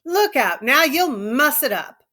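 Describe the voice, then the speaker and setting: A woman's voice: sits high, sing-song, a little slow, pushed and bright, voice pushed up, exaggerated voice. Woman doing a young ranch wife, coy, vain, lazy drawl. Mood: annoyed, alarmed.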